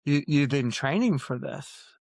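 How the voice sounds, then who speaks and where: A man's voice: fairly high pitch, animated, unhurried, creaky, a little mellow, dry recording, clean studio sound. A man talking earnestly as an author guest on a podcast, repeating phrases for emphasis. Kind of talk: earnest podcast interview talk.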